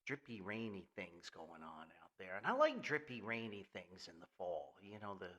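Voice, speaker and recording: A man's voice: low voice, big pitch swings, moderate pace, a bit bright. An older man hosting an informal podcast in a folksy, jokey, rambling way with theatrical emphasis. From New Jersey, United States. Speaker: podcast host. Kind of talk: informal solo podcast monologue with sponsor reads.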